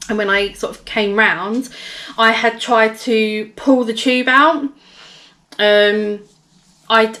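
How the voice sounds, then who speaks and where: A woman with a hoarse, gravelly voice telling a personal story in a casual vlog, rambling with many fillers and restarts. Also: mid-range voice, fairly bright tone. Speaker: vlogger.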